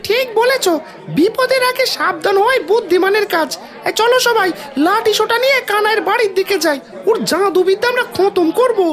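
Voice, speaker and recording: A woman voicing an excitable, gullible and accusing villager in a cartoon. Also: extremely high pitch, brisk, crowd noise. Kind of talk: Bengali cartoon voice acting.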